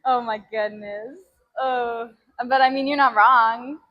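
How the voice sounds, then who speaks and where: Woman, high voice, fitness livestreamer, casual chat, giggly, animated. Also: slow, highs cut off. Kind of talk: casual chat with live viewers. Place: gym, during a workout.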